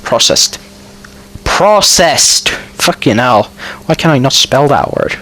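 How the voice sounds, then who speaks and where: A man with a Finnish accent talks over his gameplay in a loose, rambling way, full of ums and restarts. His pitch sits mid-range but bounces around a lot, and dry little jokes slip in between the explanations. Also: animated, takes it slowly, pushed and bright, low-quality recording. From Finland. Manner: rambling, hesitant, full of ums and self-corrections, with dry asides. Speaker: gamer narrating his building.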